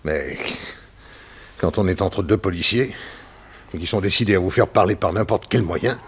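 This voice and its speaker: Crooked horse owner, 1960s radio drama: low-voiced, husky, anxious, weak, pleading. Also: male voice, normal speed, exaggerated voice. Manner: hesitant, pleading.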